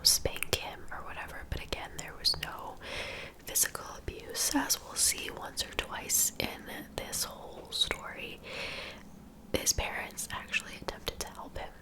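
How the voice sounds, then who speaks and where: A woman hosting an ASMR true crime video in a soft, breathy whisper, chatting casually to viewers as she narrates. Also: rough recording. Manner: casual, conversational asides to viewers.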